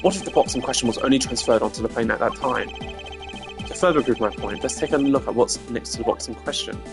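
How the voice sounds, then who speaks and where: A man voicing a composed, analytical prosecutor in a video game, with a measured, formal and dryly superior delivery. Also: mid-range voice, music in the background, close to an everyday voice. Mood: methodical.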